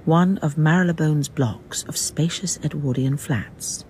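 An actress as a calm, detached narrator of a radio drama, with measured literary delivery. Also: female voice, deep voice, a little hiss or hum. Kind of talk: scripted third-person narration, radio drama.

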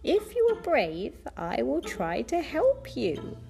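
A woman voicing a kindly magical old woman in a storybook, gentle and encouraging in a high voice. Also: wildly swooping pitch, takes it slowly, creaky, faint music behind, heavily acted. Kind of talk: storybook read-aloud.

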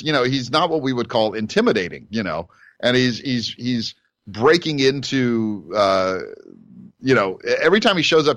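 A man co-hosts a casual podcast, rambling through his points with many restarts and breaking into playful impressions. His voice sits at a middle pitch. The recording is dry and close, with no room sound. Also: a bit bright, no echo. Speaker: podcast co-host. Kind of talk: casual chat.

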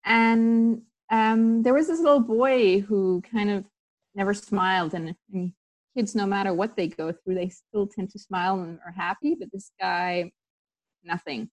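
A woman's voice: medium pitch, steady tone, a little slow, darkish tone, low-quality recording. A woman who grew up in Tanzania, a sports psychologist guest talking casually in an interview, rambling with many fillers and restarts.

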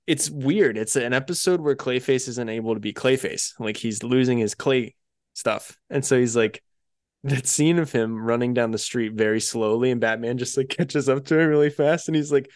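Male podcast co-host, medium pitch, casual review chat, loose conversational phrasing, dry sound. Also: normal speed, darkish tone, no room sound.

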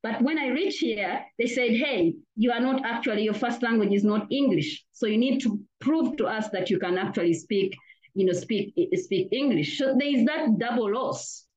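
A woman's voice: on the high side, moderate pace, a little mellow, lo-fi sound. A woman from Uganda, an academic, giving earnest interview answers full of "you know" fillers and restarts. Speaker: academic guest. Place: lives in Australia.

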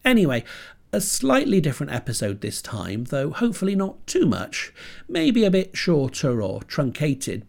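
A man's voice: medium pitch, gravelly, darkish tone. A British man hosting a horror film podcast with dry, self-deprecating wit, sounding stuffy from a cold. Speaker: podcast host. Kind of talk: scripted podcast monologue. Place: home computer desk.

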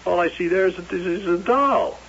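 High-voiced, folksy, doubting carnival hand. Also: male voice, fairly quick, fairly bright tone. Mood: curious. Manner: plain-spoken, worried, incredulous. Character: skeptical, anxious, folksy male carnival worker. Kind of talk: old-time radio drama acting. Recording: muffled, hissy old radio broadcast.

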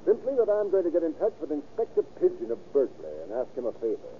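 A man's voice: fairly high pitch, wildly swooping pitch, fast talker, a little hiss or hum. An actor playing a firm, skeptical lawman questioning a prisoner in a probing, businesslike way, in old radio crime drama style. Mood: skeptical, determined. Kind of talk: 1930s-40s radio crime acting. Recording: tinny old radio recording.

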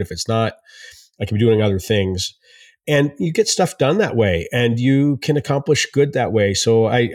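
A man's voice: on the low side, darkish tone, no echo, studio quality. A man co-hosting a podcast, talking in an earnest, reflective way. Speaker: podcast co-host. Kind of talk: casual conversation.

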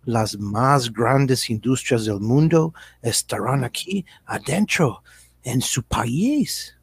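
A man's voice: medium pitch, expressive, takes it slowly, breathy edge, full character voice. A man playing a slick, evasive American businessman in a satirical radio drama, speaking Spanish with an American accent, hesitant and dropping in English words. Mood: persuasive, grandiose. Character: slick, evasive American businessman selling his multinational company.

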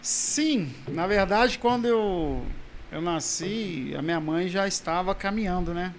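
A Brazilian man in his forties, a pastor, talking casually in an interview about his family and childhood, halting with restarts. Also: high voice, slight background noise. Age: 40s. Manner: halting, with frequent restarts and soft laughs.

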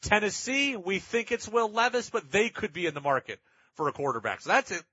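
A man's voice: sits high, animated, a little fast, pushed and bright, husky, no room sound, highs cut off. A man hosting sports talk radio, giving opinionated commentary in an emphatic way and repeating points for effect.

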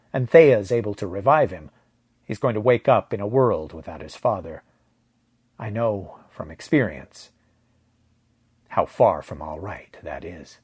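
A man's voice: lowish voice, breathy edge. A man narrating first-person science fiction fiction from a script as a storyteller.

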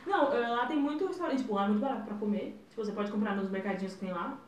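A young woman, co-hosting a casual chat podcast, blunt and quick to swear. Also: on the high side, recorded from a distance. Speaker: podcast co-host. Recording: roomy, mic set back, faint ambience.

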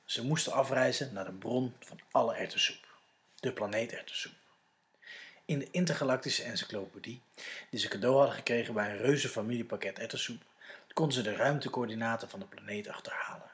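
Dutch guy with netherlands accent reading a kids story, medium pitch, narrator style. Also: brisk, fairly bright tone. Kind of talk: scripted children's story narration.

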